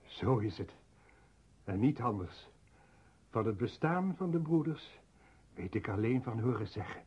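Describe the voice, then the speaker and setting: An actor playing a fearful, pleading ninety-year-old peasant woodcutter in a radio drama, deferential and halting. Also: male voice, mid-range voice, animated, slightly rough. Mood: frightened, hushed. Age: ninety in the role. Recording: muffled, dull radio drama recording.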